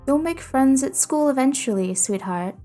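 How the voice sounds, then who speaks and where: A woman voicing a patient, gentle mother who soothes her young son, in a storybook reading. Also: sits high, lively intonation, moderate pace, soft background music.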